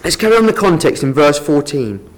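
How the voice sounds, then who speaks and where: Man, lay preacher, earnest Bible exhortation, animated intonation, somewhat high pitch, self-correcting phrasing. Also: brisk. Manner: earnest, explanatory, with frequent restarts. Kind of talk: Bible talk to a congregation. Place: meeting room with an audience.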